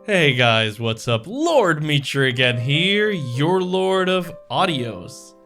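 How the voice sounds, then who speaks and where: A man's voice: on the high side, very animated, slow, pushed and bright, soft background music. A man hosting his audio channel, chatting casually to viewers in a rambling, self-interrupting way. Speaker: audio roleplay creator and channel host.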